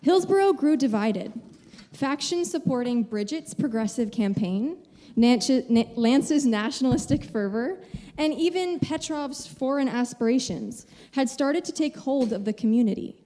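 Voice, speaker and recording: A woman narrating a scene in a student staged reading, rushing and stumbling slightly over her words. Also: high-pitched, lively intonation, mellow. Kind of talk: singing a show tune and narrating.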